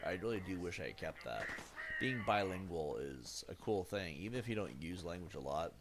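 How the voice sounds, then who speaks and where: A man's voice: low voice, normal speed. A man in his thirties from the United States, chatting casually while streaming a game, rambling with lots of fillers and self-corrections. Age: 30s. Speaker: gaming streamer. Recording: steady background noise.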